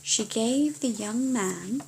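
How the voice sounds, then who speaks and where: Woman storyteller, fairly high voice, slow pace, theatrical audiobook narration, deliberate pauses. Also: creaky, a little mellow, a little hiss or hum, rough recording. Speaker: audiobook reader. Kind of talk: dramatic children's fairy-tale narration.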